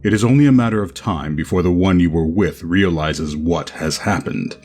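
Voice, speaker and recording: A man playing a composed, formal masked killer in a horror audio drama, speaking deliberately and ominously. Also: sits low, a little mellow. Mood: calm, urgent.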